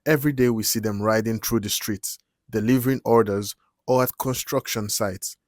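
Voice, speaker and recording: A man reading a news feature narration in a measured, solemn way. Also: on the low side, no room sound, studio quality. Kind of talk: scripted news report narration.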